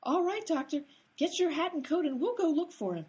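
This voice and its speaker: A woman's voice: extremely high pitch, animated, brisk, a little mellow. A woman playing a ditzy, literal-minded hospital nurse with a naive manner in an absurd radio comedy sketch. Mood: ditzy, helpful. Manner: ditzy, naive, swooping delivery.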